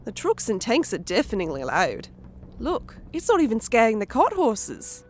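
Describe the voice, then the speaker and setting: A man voicing a sharp, money-loving fantasy woman, dour and excitable, in an audiobook. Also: extremely high pitch, normal speed, a little hiss or hum, voice pushed up, exaggerated voice. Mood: musing. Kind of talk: audiobook character voice.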